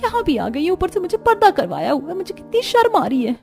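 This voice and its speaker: A woman voicing a spirited young daughter-in-law, expressive and pleading, in a cartoon story. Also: very high-pitched, quick pace, gravelly, soft background music, voice pushed up, full character voice. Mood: embarrassed, complaining. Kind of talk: Hindi cartoon story voice acting.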